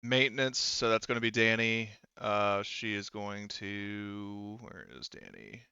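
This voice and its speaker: A man running an online tabletop roleplaying game as game master, talking casually and haltingly as he thinks aloud and corrects himself. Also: fairly low pitch, gravelly, bright, forceful tone, smooth, no room sound, lo-fi sound.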